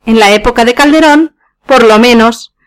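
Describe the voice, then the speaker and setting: A woman's voice: fairly high pitch, normal speed, lightly acted. A woman from Spain acting a scornful, sardonic wife in a radio play farce, with a cutting manner and a Spanish accent.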